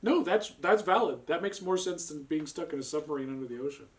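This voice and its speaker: A man's voice: fairly high pitch, animated, fast. A man hosting a reaction show, chatting casually with a group. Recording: noticeable background noise.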